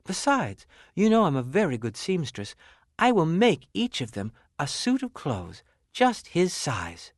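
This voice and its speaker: A man takes on the part of a shoemaker's wife in a storybook, kindly and practical. Her talk is earnest and caring, with a lilting, varied pitch and a dry, close sound. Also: huge pitch swings, measured pace, no room sound. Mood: confident.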